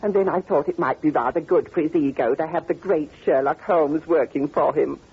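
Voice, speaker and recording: An actress playing a haughty, amused older British duchess with a grand, theatrical manner, in 1940s radio style. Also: female voice, deep voice, brisk, husky, full character voice. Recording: tinny, narrow old radio recording.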